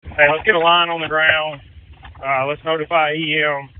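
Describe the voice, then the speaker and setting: A man's voice: on the high side, little pitch movement, moderate pace, clear, resonant tone. A man reporting from a scene as a firefighter, in terse emergency radio traffic. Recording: noisy, tinny two-way radio with clicks.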